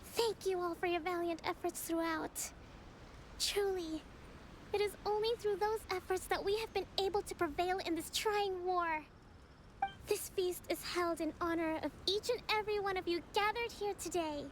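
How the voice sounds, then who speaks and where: An actress playing a gentle, gracious young woman leader in an anime game, speaking with soft, composed formality. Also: very high-pitched, fairly even intonation, moderate pace, slight background noise. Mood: earnest, rallying. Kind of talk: scripted anime game voice acting. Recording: music behind the voice.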